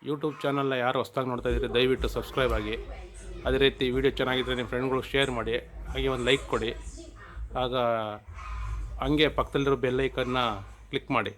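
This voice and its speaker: A man's voice: mid-range voice, flat delivery, fast. A man presenting a casual vlog as host and audio installer.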